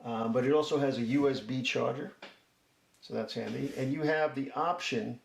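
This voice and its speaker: A man's voice: medium pitch, moderate pace, creaky. A man giving a casual, chatty product demo and review, folksy as he talks viewers through each step. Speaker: host of a DIY product review channel. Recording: roomy echo, faint background noise.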